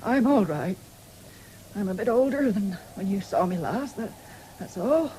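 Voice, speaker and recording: A woman's voice: medium pitch, animated, measured pace, warm tone, close to an everyday voice, low-quality recording. An actress playing a proud, stubborn ageing farm woman in a radio drama, speaking in a brusque, defiant way. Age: older. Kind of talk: 1970s British radio drama acting. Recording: faintly hissy old radio broadcast.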